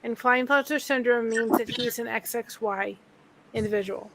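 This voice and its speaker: A woman's voice: a little high, normal speed, creaky, a bit bright, rough recording. A woman in a casual group video chat, explaining things earnestly and restarting her sentences.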